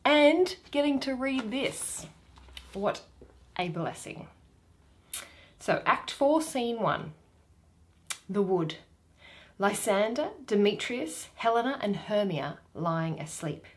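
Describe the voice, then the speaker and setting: A woman's voice: medium pitch, lively intonation, takes it slowly, long pauses. A woman hosting a play reading, casual in her introduction and reading out stage directions and names. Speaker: host and reader.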